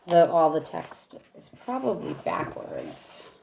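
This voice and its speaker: A woman teacher reading a rhyming picture book aloud in a measured way, with casual asides. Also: deep voice, wildly swooping pitch, gravelly, darkish tone, slight background noise.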